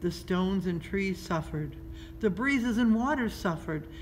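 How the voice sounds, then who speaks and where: A woman reads a children's story aloud in a measured, expressive storytelling style, pausing between phrases. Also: mid-range voice, poor audio. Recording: noticeable background noise.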